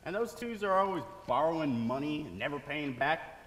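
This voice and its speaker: A man's voice: a little high, big pitch swings, normal speed, a bit bright. A man acting a cynical, teasing jazz musician in a stage drama, mocking and slangy. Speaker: actor in staged reading. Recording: echoey theater hall.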